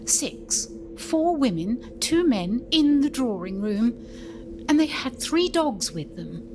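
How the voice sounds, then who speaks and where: A woman's voice: fairly high pitch, big pitch swings, takes it slowly, warm tone, slight background noise. A woman playing a prim, earnest governess in an audio drama, anxious and politely proper. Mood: troubled.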